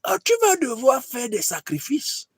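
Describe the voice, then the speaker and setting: Casting: aged dictator mentor, mocking and scolding in a very high voice, satirical skit, close dry sound. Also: male voice, wildly swooping pitch, a little slow, slightly rough, no room sound, exaggerated voice. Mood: imperious, scolding. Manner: exclaiming, scolding, repeats himself. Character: old dictator grand master, weary, imperious, mocking mentor.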